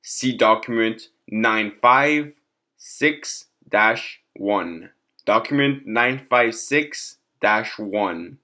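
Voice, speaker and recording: A man narrating a scripted clinical report in a formal, measured way. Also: on the low side, pushed and bright.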